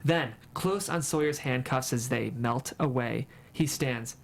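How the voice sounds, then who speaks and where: A man's voice: medium pitch, unhurried, gravelly. A man reading stage directions as the narrator of a screenplay table read. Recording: faint room noise.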